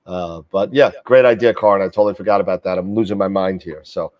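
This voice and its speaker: A man's voice: sits low, steady tone, a little fast, recorded from a distance, muffled recording. A man hosting a live astronomy show, talking casually with many fillers and restarts while getting over a cold. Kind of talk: casual live-stream chat.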